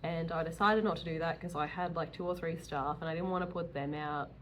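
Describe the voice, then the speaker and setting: A woman in her early thirties with a slightly low voice chats candidly, as if to a camera. Her delivery is casual and rambling, with self-deprecating asides. There is noticeable noise behind her. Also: fairly even intonation, fairly quick, a bit bright. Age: early 30s. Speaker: vlogger, self-employed dog groomer.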